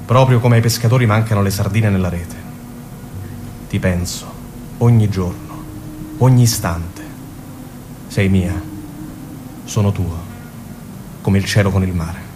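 A man's voice: sits low, a little slow, long pauses, background noise. An actor playing a lovesick young Gazan man, reading out love letters in a tender, intimate way in a radio drama. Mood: longing, tender. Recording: guitar music under the voice.

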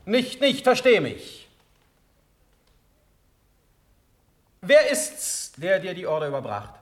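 A male actor playing a commanding, imperious prince-elector in a classical radio drama, declaiming in verse with sharp, probing questions. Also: high-pitched, huge pitch swings, unhurried, exaggerated voice. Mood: brisk, insistent.

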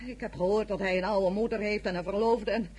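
An anguished older madame voiced by an actress in a radio play. Her voice is husky and sits at a middle pitch. She speaks formally and with emphasis, pleading like a proud mother. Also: steady tone, fairly quick, a little mellow, close to an everyday voice. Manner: formal, emphatic, pleading, with Italian words mixed in. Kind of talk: Dutch radio drama acting.

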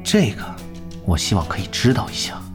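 An actor playing a proud, scheming young male pro gamer in an audio drama, speaking in a calculating, deliberate way. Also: on the low side, huge pitch swings, music in the background. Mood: cool, calculating.

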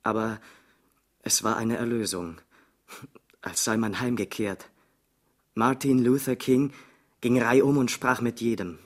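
An actor playing an earnest civil rights activist who gives halting testimony in a radio drama. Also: male voice, mid-range voice, fairly even intonation, close to an everyday voice. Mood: earnest, relieved. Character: male civil rights activist recalling refuge, earnest survivor.

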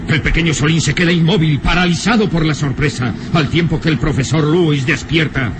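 A man's voice: fairly high pitch, brisk, very noisy, close to an everyday voice. A man narrating an old horror radio serial in an ominous, emphatic, suspenseful style. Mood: urgent.

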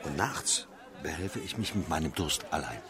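A man's voice: rumbling low, lively intonation, normal speed, a crowd in the background. A man playing a bluff, hard-drinking military officer of imperial China in a radio drama, blunt and brash in manner. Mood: wary, dry.

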